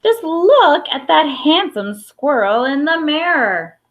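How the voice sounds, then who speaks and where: A woman reads a children's story aloud in a fairly high voice that swings expressively up and down. She takes her time and pauses for emphasis. The recording sounds a little dull and lo-fi. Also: very animated. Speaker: storybook reader. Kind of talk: picture-book read-aloud with brief asides.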